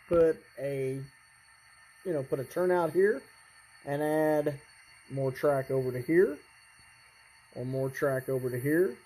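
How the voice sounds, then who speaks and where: A hobbyist presenter giving a casual, unscripted demonstration in a halting, rambling way, often clearing the throat. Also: medium pitch, warm tone, poor audio. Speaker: hobbyist presenter showing a model train layout.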